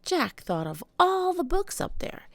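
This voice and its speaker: A woman reading a children's book aloud as an animated storyteller, with dramatic emphasis. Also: extremely high pitch, measured pace, no room sound. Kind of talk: expressive read-aloud storytelling.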